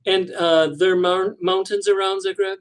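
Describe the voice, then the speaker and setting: A man's voice: sits high, level tone, moderate pace, smooth. A man hosting a radio talk show, asking his guest questions in an easygoing way. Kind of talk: interviewing a guest.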